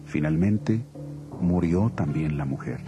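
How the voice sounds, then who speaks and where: An actor playing a learned religious man who poses questions formally and deliberately in a dramatized scripture recording. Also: deep voice, lively intonation, lo-fi sound, over music. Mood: formal, probing. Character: Sadducee man, learned questioner posing a legal riddle.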